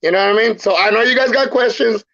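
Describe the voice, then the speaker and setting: A man's voice: sits high, fast talker, a bit bright. A man from El Salvador, a podcast guest telling his life story casually, using street slang and repeating phrases. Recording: muffled lo-fi sound.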